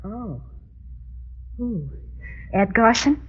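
A proud, betrayed wife in an old radio thriller. Her voice is husky and fairly high, swinging widely with insistent, theatrical emphasis. The sound is dull and lo-fi. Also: lively intonation, very slow, heavily acted. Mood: bitter, suspicious. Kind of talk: old-time radio melodrama acting.

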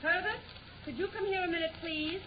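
Stern, high-pitched mother. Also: a little fast. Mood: suspicious. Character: stern, commanding suburban mother. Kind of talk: 1940s radio sitcom acting. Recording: muffled, noisy 1940 radio recording.

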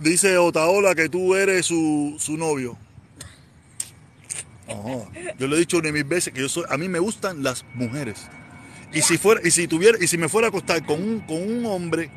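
A man's voice: on the high side, unhurried, fairly bright tone, slight background noise. A man in his forties from Havana, speaking with a Cuban accent, chatting casually and rambling on a live stream, repeating himself and answering viewer comments. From Cuba. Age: 40s.

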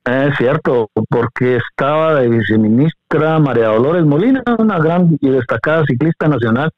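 A middle-aged Guatemalan man, a veteran broadcaster, reminiscing in an interview in a measured, courteous way. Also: a little high, animated, no echo. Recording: dry, narrow phone-like line.

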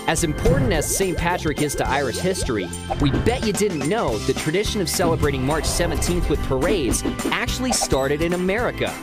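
A documentary narrator reading a scripted, measured explainer in a smooth storytelling manner. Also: medium pitch, big pitch swings. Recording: narration over loud background music.

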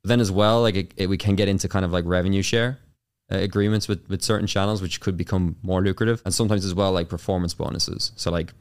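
A young man with an Irish accent, a guest on an interview show, answering in a casual, rapid way full of fillers and restarts. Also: deep voice, fairly even intonation, clear, resonant tone. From Ireland. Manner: fast, filler-heavy with many restarts. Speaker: YouTube consultant, guest.

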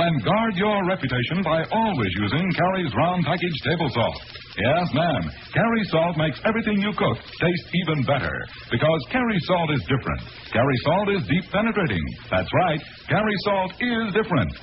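A man works as an old-time radio pitchman, emphatic and declamatory. His fairly high voice swings widely, and the recording is muffled and noisy. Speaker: radio announcer and sponsor pitchman. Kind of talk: 1940s radio announcing and advertising.

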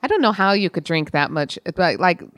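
Lowish-voiced woman chatting, thinking aloud. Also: lively intonation, a little fast, a bit bright, no room sound, studio quality. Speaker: podcast co-host. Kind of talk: casual book club chat.

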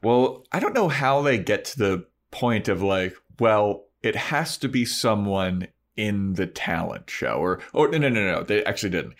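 A man with a fairly low voice chats as a podcast co-host. He retells a TV episode in loose, slangy language, slipping into paraphrased dialogue as he goes. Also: expressive, normal speed, hardly any pauses. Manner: informal slangy storytelling, acting out dialogue in paraphrase. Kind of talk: casual chat recapping a TV episode.

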